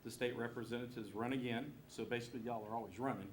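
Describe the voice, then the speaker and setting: A man's voice: medium pitch, steady tone, fast. A man moderating a live event, announcing casually in an informal, folksy way. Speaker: forum moderator. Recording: noisy live event recording.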